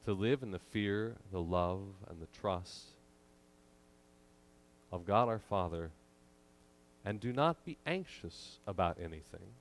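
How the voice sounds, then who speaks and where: A man in his thirties preaching a sermon from a church pulpit, measured and emphatic with frequent pauses, into a pulpit microphone. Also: low-pitched, animated. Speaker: pastor.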